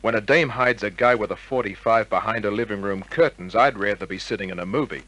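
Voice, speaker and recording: An actor playing a wisecracking, suspicious private detective in 1950s radio crime drama style, with clipped, sardonic tough talk. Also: male voice, fairly low pitch, brisk, a bit bright, no echo, close to an everyday voice. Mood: dry narration. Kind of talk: 1950s radio crime drama acting and first-person narration. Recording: old radio drama recording with music.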